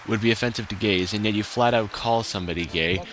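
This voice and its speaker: A man's voice: on the low side, quick pace, fairly bright tone, a little hiss or hum. A man doing a sarcastic, exaggerated dramatic reading of an online argument. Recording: music playing behind the voice. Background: music.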